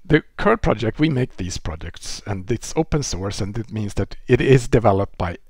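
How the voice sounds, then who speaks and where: A man with a Swedish accent explains a technical topic at an easy pace, voice slightly husky and pitched fairly low. He talks into a headset, the sound close and dry with no room around it, restarting phrases as he thinks. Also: moderate pace, no echo. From Sweden. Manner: relaxed explaining, with frequent restarts and fillers. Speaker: presenter. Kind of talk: technical lecture. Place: indoor room.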